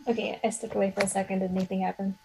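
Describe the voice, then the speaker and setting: A woman's voice: medium pitch, level tone, very fast, gravelly, noisy background. A woman chatting casually as a player in a tabletop game. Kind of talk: casual chat during a game.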